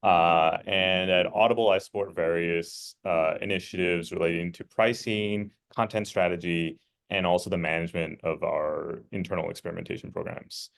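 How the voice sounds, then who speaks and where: Deep, gravelly man introducing himself. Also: fairly even intonation. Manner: measured, starting with "uh". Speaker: panelist, economist. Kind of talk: webinar self-introduction. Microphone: video call.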